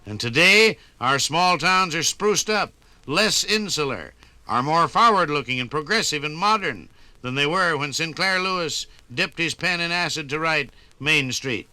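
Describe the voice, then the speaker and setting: American guy, higher voice, really sharp and punchy, oratorical 50s radio commentary. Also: animated, measured pace, no room sound. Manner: emphatic, oratorical. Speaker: radio documentary narrator. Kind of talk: 1950s radio scripted commentary. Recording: 1950s radio LP recording.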